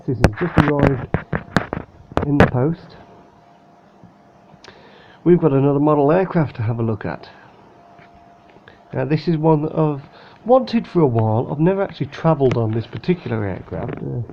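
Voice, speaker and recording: Man, casual home vlogger, soft and hesitant, slightly nasal with a cold; thin phone-like audio, faint fan hum. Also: medium pitch. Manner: speaking softly, hesitant with many fillers. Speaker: model aircraft unboxing vlogger. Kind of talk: casual unboxing chat. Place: room at home with a fan running.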